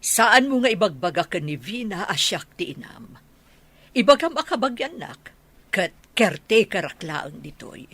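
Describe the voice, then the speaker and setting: A woman playing a protective, testing mother of a grown son in a radio drama, with a husky voice and an Ilocano accent. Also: medium pitch, very animated, moderate pace, a bit bright, heavily acted. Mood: firm, scheming.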